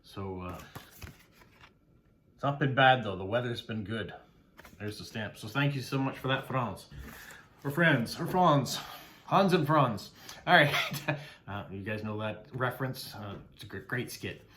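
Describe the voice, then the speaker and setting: A man's voice: medium pitch, measured pace, pauses often, a little hiss or hum. Canadian guy in his middle years chatting thru his mail, lots of uhs, easygoing. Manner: chatty, warm thanks, frequent "uh" fillers. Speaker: postcard vlogger and mail carrier. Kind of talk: casual unboxing chat.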